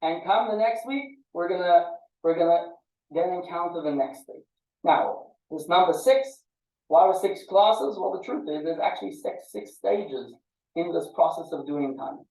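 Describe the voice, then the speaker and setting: A man teaching a small study group informally, often halting and repeating words as he explains. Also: fairly high pitch, lively intonation, mellow, distant mic. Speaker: class teacher. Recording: muffled phone-like audio, echoey, off-mic.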